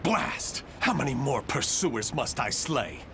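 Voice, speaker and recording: Fugitive aristocrat for a fantasy game: proud, bitter, brooding, highly expressive pitch, music underneath. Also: male voice, slow pace, creaky, exaggerated voice. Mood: despairing. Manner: formal, theatrical, brooding diction. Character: proud, embittered fugitive French nobleman swordsman, weary and betrayed. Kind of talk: dramatic video game acting.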